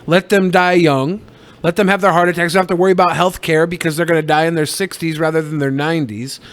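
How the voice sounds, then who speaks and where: High-voiced sardonic man on a podcast. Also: steady tone, quick pace. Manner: sardonic, profane. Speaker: podcast co-host. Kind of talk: casual comedic podcast chat.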